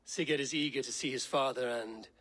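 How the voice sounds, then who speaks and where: An actor playing a steady Viking clan leader in a game cutscene, speaking in a measured, grave way. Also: male voice, medium pitch, no room sound, lightly acted.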